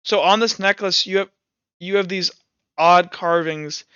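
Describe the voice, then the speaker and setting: A man narrating a tabletop roleplaying game as game master, improvising in halting phrases with pauses mid-sentence. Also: sits high, steady tone, sharp tone, clean tone, no echo, dull audio.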